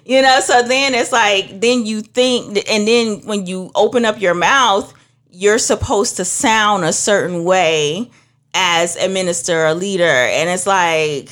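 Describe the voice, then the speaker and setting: A woman's voice: medium pitch, measured pace. A young woman from Trinidad, a minister, telling stories in casual conversation and acting out what others said. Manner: animated storytelling, acts out other voices. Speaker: minister and beauty professional.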